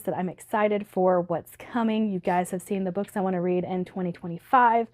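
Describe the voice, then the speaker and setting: A woman book vlogger talking casually to camera, upbeat and chatty, with a slightly hoarse voice from allergies. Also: medium pitch, darkish tone. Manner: chatty, upbeat, laughs often.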